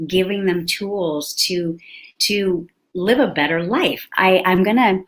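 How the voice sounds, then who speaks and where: Lower-voiced woman hosting an interview. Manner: restarts phrases, speeds up and slows down. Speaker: host.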